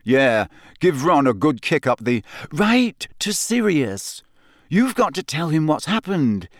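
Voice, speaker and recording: A man's voice: fairly high pitch, big pitch swings, slow, no echo, studio quality, pitched above the performer's own voice. A man voicing an earnest, clever teenage schoolgirl who talks urgently and insistently.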